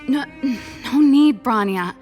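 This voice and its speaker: An actress playing a proud, free-spirited woman engineer in a video game, a guarded and wistful older sister with a creaky voice and casual manner. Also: a little high, expressive, takes it slowly, a little mellow. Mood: apologetic. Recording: music under the voice.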